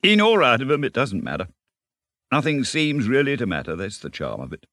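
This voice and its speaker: A man's voice: deep voice, big pitch swings, moderate pace, a bit bright, no room sound, heavily acted. A man voicing a genial, dreamy water rat from a storybook, enthusiastic and easygoing about life on the river. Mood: carefree, enthusiastic. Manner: enthusiastic, effusive. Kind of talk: storybook character reading.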